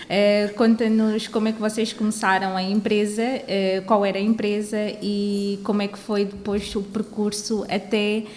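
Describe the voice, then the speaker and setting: A woman's voice: medium pitch, flat delivery, moderate pace, few pauses, a little mellow, slight background noise. Chatty young Angolan woman hosting. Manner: chatty, with frequent "eh" fillers. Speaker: podcast host. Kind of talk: podcast interview.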